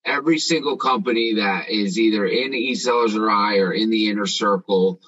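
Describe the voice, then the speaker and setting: Husky-voiced man coaching on a call. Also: fairly low pitch, moderate pace, fairly bright tone, highs cut off. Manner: confident, emphatic, coaching tone. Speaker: business coach hosting a group call. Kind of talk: casual group video call. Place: dim room at home. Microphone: video call.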